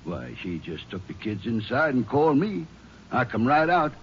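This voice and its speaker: A man's voice: medium pitch, sing-song, moderate pace, close to an everyday voice. Man playing a folksy, nervous Southern small-town marshal. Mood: worried, talkative. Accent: rural American Southern. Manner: drawling, rambling, hesitant with uhs. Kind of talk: 1950s radio drama acting. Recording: dull old radio recording, faint hiss.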